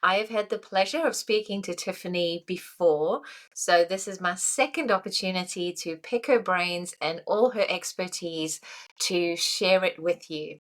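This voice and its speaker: A woman's voice: on the low side, unhurried. A woman hosting a podcast interview, upbeat and affirming as she introduces and questions her guest. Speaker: podcast host.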